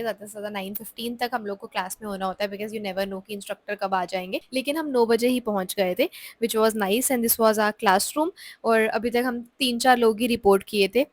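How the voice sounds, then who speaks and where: A young woman, narrating her vlog in a chatty voice-over and mixing in English, with a sore throat from a cold. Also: fairly high pitch. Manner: chatty Hinglish storytelling. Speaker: vlogger. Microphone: close voice-over mic.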